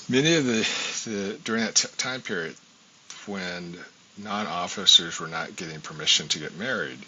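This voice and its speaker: Very deep-voiced man in his sixties. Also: gravelly, pushed and bright. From United States. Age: 60s. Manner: reflective storytelling, many ums. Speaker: guest scholar. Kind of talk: interview conversation. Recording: dull lo-fi audio, faint hiss.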